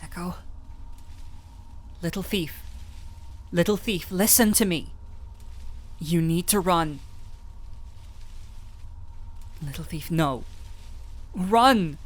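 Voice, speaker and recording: Slow-speaking, emotive gentle woman doctor, acted by a woman. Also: fairly low pitch. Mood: urgent pleading. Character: gentle, desperate female doctor in fantasy adventure. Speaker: voice actor in audio roleplay. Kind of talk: dramatic audio roleplay acting.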